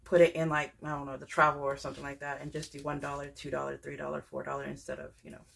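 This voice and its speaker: A woman's voice: low voice, monotone, fast, gravelly, slight background noise. A woman, chatting casually and rambling a little as she shows her budgeting products. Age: 30s. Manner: rambling, chatty, many fillers and restarts. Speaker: budgeting channel creator. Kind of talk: casual chat showing products.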